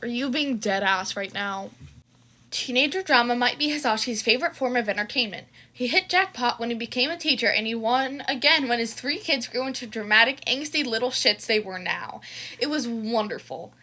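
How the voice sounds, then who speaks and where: A woman narrating fan fiction in an expressive storytelling style. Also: a little high, brisk.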